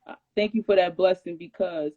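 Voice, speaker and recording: A woman's voice: on the low side, fairly quick. Woman on a lo-fi live stream. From Detroit, USA. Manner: animated, laughs often, emphatic repetition. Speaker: rapper guest. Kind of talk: casual live-stream chat. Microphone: phone on a live video call.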